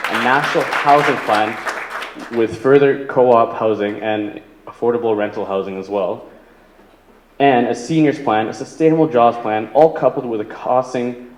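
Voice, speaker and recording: A man giving an earnest opening statement as a political candidate at a debate. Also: medium pitch. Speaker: political candidate, Green Party. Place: indoor community debate venue. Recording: echoey hall with faint noise.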